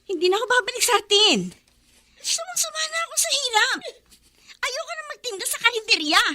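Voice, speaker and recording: Defiant young daughter, radio drama, extremely high bright voice, insistent, emotional. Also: female voice, big pitch swings, fairly quick, a little hiss or hum, heavily acted. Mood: tearful defiance. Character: defiant, ambitious young daughter fed up with poverty.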